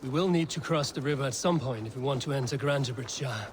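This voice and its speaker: An actor playing a steady, friendly Viking warrior leader in a video game, measured and dryly teasing. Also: male voice, mid-range voice, a little hiss or hum, lightly acted. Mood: easy, inviting. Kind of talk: video game dramatic acting.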